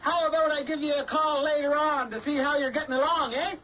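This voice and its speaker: Through a dull, noisy radio recording comes a chummy fisherman. His voice is strikingly high, and he needles. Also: steady tone, brisk. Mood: teasing. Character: teasing, easygoing male lobster fisherman. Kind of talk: radio drama dialogue.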